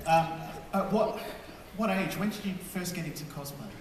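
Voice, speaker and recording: A man from Australia hosting a convention panel in a lively, jokey announcer manner in a large hall. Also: high-pitched, moderate pace. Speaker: panel host. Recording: echoey hall, crowd, from far off.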